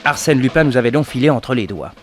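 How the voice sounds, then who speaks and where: An actor playing a detective's assistant who narrates their adventures in a scripted French radio serial. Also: male voice, medium pitch, animated, quick pace, over loud music, close to an everyday voice. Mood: measured. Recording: noisy old radio recording.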